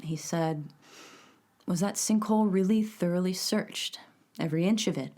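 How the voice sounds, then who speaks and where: Woman acting a low-voiced, composed official. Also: dark tone, lightly acted. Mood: composed, reflective. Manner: measured, matter-of-fact. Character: composed female official giving a sober interview. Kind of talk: documentary-style audio drama interview.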